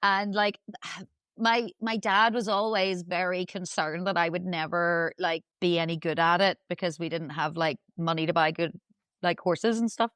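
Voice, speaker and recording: A woman's voice: on the low side, steady tone, normal speed, a bit bright, clear, resonant tone, no room sound. A middle-aged woman with a Northern Irish accent, chatting as a podcast guest in a chatty, self-deprecating way with plenty of laughs. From Northern Ireland. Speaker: guest, dressage rider. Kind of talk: casual podcast chat.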